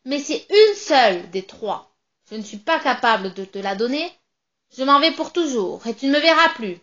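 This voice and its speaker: A woman voicing a small, nasty old witch with a shrill, sour voice and a sneering, mocking manner. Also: very animated, moderate pace, fairly bright tone, lo-fi sound. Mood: bossy. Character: nasty old female witch in a broom cupboard.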